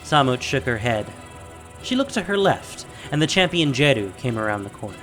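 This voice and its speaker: A man's voice: medium pitch, very animated, normal speed, fairly bright tone, faint music behind. A man narrating a scripted fantasy audio drama in a dramatic storytelling style.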